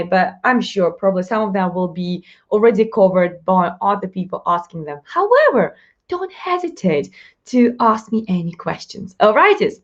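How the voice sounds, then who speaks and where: Upbeat Russian-accented woman host, a bit muffled. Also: medium pitch. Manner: chatty, upbeat, fast-talking, laughs often. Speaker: live show host, mindset coach. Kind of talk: live-stream Q&A.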